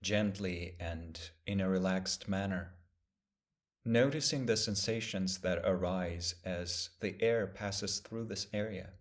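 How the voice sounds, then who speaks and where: Low-pitched man guiding a meditation. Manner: gentle, measured, with long pauses. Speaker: meditation teacher. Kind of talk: guided meditation instruction.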